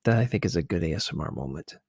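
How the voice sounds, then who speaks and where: A man with a low, husky voice hosts a conversational ASMR commentary. He talks in a hushed, halting way, often searching for his words. The sound is close and dry. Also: lively intonation, no echo. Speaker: video host.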